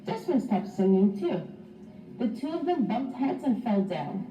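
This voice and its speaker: Expressive woman teacher reading in a roomy space. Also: fairly high pitch, measured pace, faint music behind. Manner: expressive, instructive, addressing young children. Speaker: teacher reading aloud to pupils. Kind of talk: animated children's storybook read-aloud. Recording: roomy, distant mic, slightly dull.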